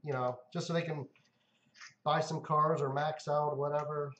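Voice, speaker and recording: Upbeat high-voiced man hosting a stream. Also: little pitch movement, normal speed, fairly bright tone. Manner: upbeat, talks to viewers, gives away cars. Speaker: livestream host. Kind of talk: casual livestream chat. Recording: roomy, dull lo-fi sound.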